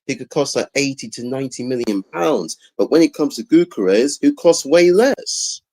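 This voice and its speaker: A man's voice: mid-range voice, animated, normal speed, dark tone, no room sound. Male guest, mid-range voice, dry sound, emphatic talker. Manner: emphatic, repeats himself. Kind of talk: casual football chat.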